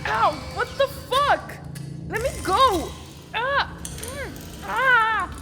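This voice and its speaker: A woman's voice: very high-pitched, lively intonation, extremely slow, full character voice. An actress playing a frightened, curious young woman in a dystopian radio drama. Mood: panicked, in pain. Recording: long reverb, music behind.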